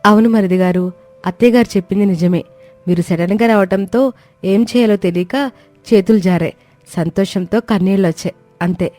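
Woman playing a meek, mellow-voiced young daughter-in-law. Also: medium pitch, normal speed, pauses often, soft background music, natural voice. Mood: soothing, submissive. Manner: polite, deferential, composed.

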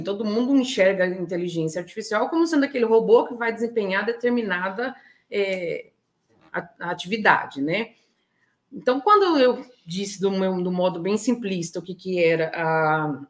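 Brazilian woman giving an informal lecture. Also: medium pitch. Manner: explanatory, frequent check-in tags. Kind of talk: informal online lecture with slides. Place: indoor room, online talk. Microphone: video call.

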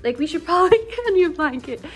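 A woman's voice: very high voice, animated, quick pace. A young woman in her twenties giving a casual, giggly house tour to her viewers, chatting into a phone held at arm's length in a bedroom. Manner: giggly, bubbly, lots of "like" and "you guys".

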